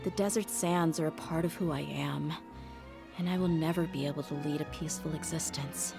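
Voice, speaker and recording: An actress playing a tough, candid young female mercenary in a video game, wistful but resolute, speaking in a measured, reflective way. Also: deep voice, steady tone, gravelly. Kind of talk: scripted game dialogue. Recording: prominent music under the voice.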